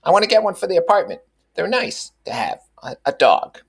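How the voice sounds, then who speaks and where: A man's voice: sits high, sing-song, a little fast, gravelly, husky, pitched above the performer's own voice, heavily acted. A man voicing an eager, haughty woman in a novel read aloud, gushing and pushy. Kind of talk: audiobook character reading.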